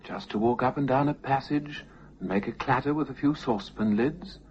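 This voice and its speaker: A man reading a ghost story as scripted narration, in an expressive, theatrical manner. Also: medium pitch, moderate pace. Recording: muffled, noisy lo-fi recording.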